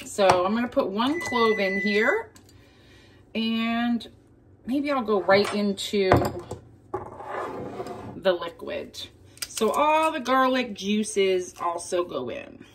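A woman giving a casual cook-along demonstration in her home kitchen, chattily talking viewers through each step. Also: medium pitch. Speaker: home cooking vlogger. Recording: clear kitchen noise, clinking dishes.

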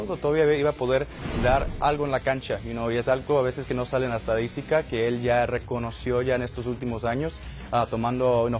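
A man, a basketball coach, answering a live remote interview via satellite, sprinkling English fillers and restarting often. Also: medium pitch. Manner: code-switching, many fillers and restarts. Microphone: satellite link.

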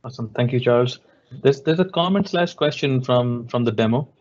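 A man's voice: mid-range voice, animated, a little fast, darkish tone. Male moderator, slightly muffled audio. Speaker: moderator relaying audience questions. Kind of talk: conversational Q&A at online event. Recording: slightly dull online call audio.

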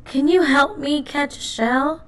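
A woman's voice: high voice, a little slow, recorded from a distance, pitched above the performer's own voice. Woman reading as an eager, childlike young boy cat. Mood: hopeful. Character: eager, cheerful young male cartoon cat. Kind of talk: children's storybook read-aloud.

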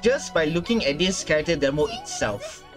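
A man's voice: on the high side, moderate pace. A man, perhaps in his thirties, giving casual, exclamatory reaction commentary as a gaming video host. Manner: excitable, exclamatory. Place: small indoor room. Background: game trailer music.

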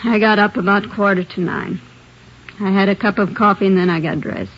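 A woman's voice: medium pitch, steady tone, fairly quick, lightly acted. Sullen tough-talking suspect gal in an old radio crime show, a woman acting it, muffled old broadcast sound. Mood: defiant, sullen. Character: defiant young woman murder suspect. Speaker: murder suspect. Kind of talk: 1950s radio drama acting.

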